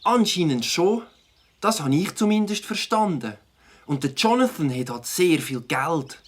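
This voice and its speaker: A man's voice: on the high side, animated, a little slow. A man voicing a matter-of-fact animal friend in a children's audio drama.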